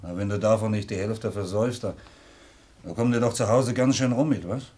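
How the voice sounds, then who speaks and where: An actor playing a practical working-class drinking buddy in a radio play, colloquial and coaxing. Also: male voice, fairly low pitch, fairly quick, darkish tone, natural voice. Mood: persuasive. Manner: colloquial, coaxing, tag questions. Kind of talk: naturalistic German radio play dialogue.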